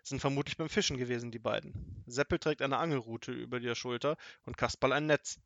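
A man voicing a gruff, vengeful middle-aged robber in a storybook reading, growling and boastful, with loud threats. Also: mid-range voice, brisk, dry recording, dull audio, close to an everyday voice. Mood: gloating. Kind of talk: storybook audiobook acting.

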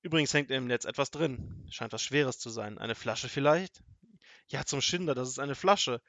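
A man voicing a gruff, vengeful middle-aged robber in a storybook reading, growling and boastful, with loud threats. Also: mid-range voice, moderate pace, no room sound, natural voice. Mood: gloating. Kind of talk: storybook audiobook acting.

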